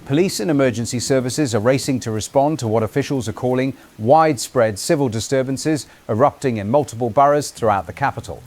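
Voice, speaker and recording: A man playing a composed radio news presenter, with a formal, measured broadcast delivery. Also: medium pitch, darkish tone, lightly acted. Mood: composed, grave. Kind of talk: scripted broadcast news narration. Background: music bed.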